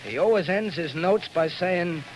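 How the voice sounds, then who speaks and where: An actor playing a street cop on patrol in an old radio drama, talking in a streetwise, chatty way. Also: male voice, high voice, lively intonation, measured pace, faint music behind. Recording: noisy old radio recording.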